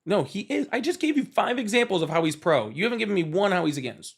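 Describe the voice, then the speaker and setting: A man's voice: sits high, sing-song, vocal fry, breathy edge. Combative man hosting a call-in debate. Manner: combative, interrupting, rapid-fire pressing questions. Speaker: political call-in show host. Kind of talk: heated live debate with a caller.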